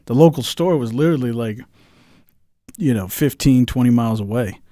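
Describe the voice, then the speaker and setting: A man from South Korea, co-hosting a livestream, talking in a rambling way with halting restarts. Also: medium pitch, expressive, dark tone, no room sound, studio quality. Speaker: co-host. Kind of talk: casual livestream chat.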